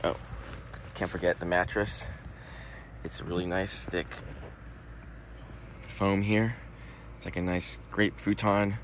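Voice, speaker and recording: A man's voice: low voice, slow pace, pauses often, slight background noise. A man casually showing and explaining a rooftop tent while working its parts with his hands, filmed outdoors on a handheld phone. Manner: relaxed, explaining while handling gear. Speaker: product reviewer. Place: outdoors beside a car, then inside a rooftop tent.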